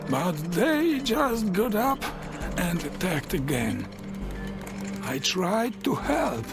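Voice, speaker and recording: An actor voicing a wise, injured house spirit in a fairy-tale game, halting and weak. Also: medium pitch. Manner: halting, weak, very slow delivery. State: coughing, injured. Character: wounded, wise house spirit, servant of a tailor. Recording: music under the voice.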